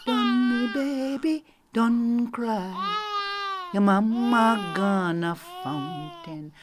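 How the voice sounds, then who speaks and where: A woman's voice: fairly high pitch, very animated, extremely slow, heavy background noise, voice pushed up, heavily acted. A woman voicing a frightened young mother who pleads tearfully. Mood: pleading. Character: frightened young mother hushing her crying baby.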